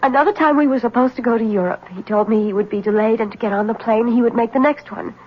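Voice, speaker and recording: An actress playing a troubled, enigmatic wife, grave, plaintive and evasive, in a 1970s radio drama. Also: female voice, a little high, fast talker, husky. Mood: anxious, evasive. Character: troubled, enigmatic wife giving ominous warnings. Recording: muffled old radio broadcast recording.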